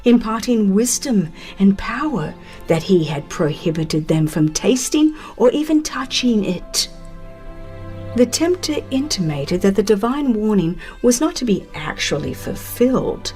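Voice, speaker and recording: Slow, solemn woman narrator. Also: medium pitch, darkish tone. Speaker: audiobook narrator. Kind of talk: dramatized scripted religious narration.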